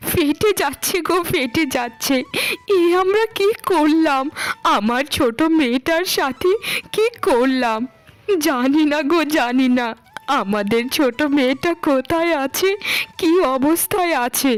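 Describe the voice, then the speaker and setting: A woman's voice: high-pitched, expressive, unhurried. A woman as a lamenting, remorseful older mother. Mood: remorseful, anguished. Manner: lamenting, pleading, repeats phrases. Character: remorseful ruined older mother, now a beggar. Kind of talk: melodramatic cartoon voice acting.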